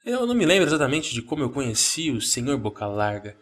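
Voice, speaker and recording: A man's voice: medium pitch, very animated, quick pace, fairly bright tone. A man with a Brazilian accent, speaking as the narrator and host of a horror story podcast.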